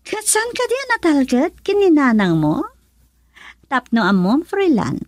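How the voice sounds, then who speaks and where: A woman's voice: high-pitched, wildly swooping pitch, measured pace, no room sound, heavily acted. Outspoken Filipino woman in dry close sound. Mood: nosy, provoking. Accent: Filipino (Ilocano). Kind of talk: Ilocano radio drama acting.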